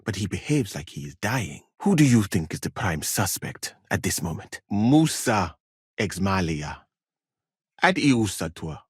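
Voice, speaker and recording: A man playing a courteous, learned foreign physician in a medieval video game, speaking in measured, formal phrases with calm thoughtfulness. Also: on the low side, slightly rough, no room sound, clean studio sound, exaggerated voice. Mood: earnest, reflective.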